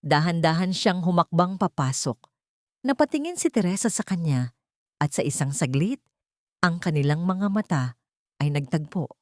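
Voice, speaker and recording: A woman narrating a dramatic story as an audiobook, with measured, sentimental delivery in short phrases. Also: fairly low pitch, lively intonation, no room sound, studio quality.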